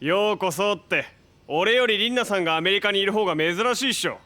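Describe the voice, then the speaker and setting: A man playing a brash, casual young hero in an audio drama, with slangy, expressive delivery. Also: high voice, fast talker, no echo. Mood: casual, teasing. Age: young adult.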